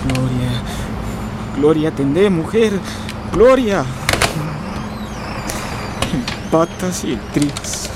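A man's voice: a little high, lively intonation, extremely slow, pauses often. A man playing a frightened, agitated working man in a radio drama, urgent and repeating himself. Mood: panicked. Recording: noisy background.

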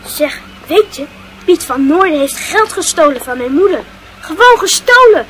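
A woman's voice: extremely high pitch, very animated, moderate pace, fairly bright tone, a little hiss or hum, full character voice, poor audio. A woman playing a prudish, indignant, gossipy schoolchild in a radio play, speaking emphatically. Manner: emphatic, repetitive.

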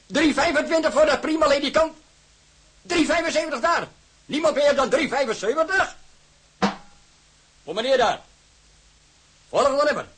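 A man acting in a radio drama as a brisk auctioneer, loudly calling out bids. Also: very high voice, slight background noise, pitched above the performer's own voice. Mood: brisk, businesslike. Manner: calling out, projecting.

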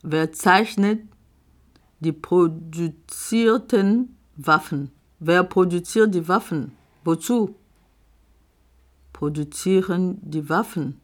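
A woman reading her own reflective poetry aloud in a deliberate spoken-word style, with long pauses between short phrases, on a recording she made herself. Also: lowish voice. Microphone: self-recorded audio.

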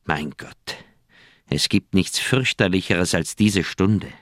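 An actor playing a conscience-stricken old doctor in a German radio crime drama, speaking in an earnest, heavy, troubled manner. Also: male voice, deep voice, takes it slowly, fairly bright tone, dry recording, clean studio sound. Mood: distressed. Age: older.

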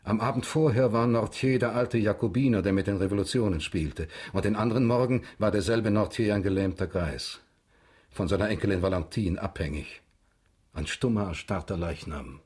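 An actor playing a grave, formal middle-aged prosecutor in a German radio drama, speaking in a measured, husky voice. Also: male voice, on the low side, a little mellow.

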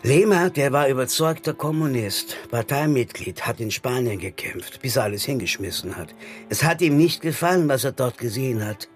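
An actor playing a jaded, defiant anarchist stage magician in a German radio crime drama, talking colloquially and chummily with a theatrical streak. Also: male voice, medium pitch, animated, normal speed, soft background music, lightly acted. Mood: earnest, bitter. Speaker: supporting actor.

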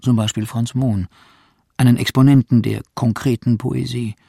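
A man's voice: medium pitch, little pitch movement, normal speed, gravelly, warm tone, slightly rough, no room sound, studio quality. A man narrating a radio documentary from a script.

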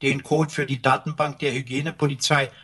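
A man's voice: medium pitch, little pitch movement, fairly quick, a bit bright, husky. An actor voicing a verbose male computer assistant in a radio drama, florid, over-formal, servile and cheeky. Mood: mock-reverent.

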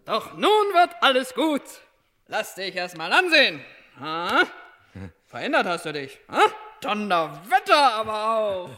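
An actress playing a brash, doting yet menacing sea captain's wife in a comedy radio play, declaiming with salty sailor exclamations. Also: female voice, mid-range voice, very animated, unhurried, sharp tone. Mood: gushing, bossy.

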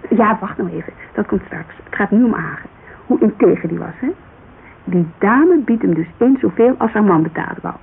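A woman's voice: medium pitch, normal speed, creaky. A woman playing a chatty, loyal businesswoman giving a witness account in a naturalistic radio drama, telling it informally with digressions. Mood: earnest, loyal. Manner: informal, digressive, animated storytelling. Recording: muffled, hissy old radio recording.

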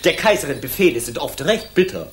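An actress playing a mocking, mischievous court jester in a radio drama, talking in sing-song, sardonic rhymes. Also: female voice, low-pitched, big pitch swings, brisk. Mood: gleeful, sardonic. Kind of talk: German children's radio drama acting.

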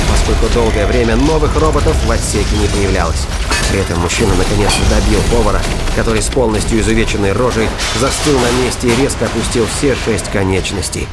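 A man reading scripted narration for a science fiction thriller audio drama. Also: mid-range voice. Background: music and sound effects.

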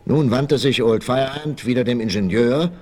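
A man's voice: medium pitch, normal speed, a little mellow. A man narrating a scripted adventure story for a 1960s radio play. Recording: slightly noisy old vinyl record.

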